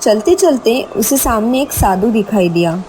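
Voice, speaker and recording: A woman's voice: on the high side, expressive, unhurried, mellow, slight background noise. A woman narrating a scripted horror story with dramatic storytelling delivery.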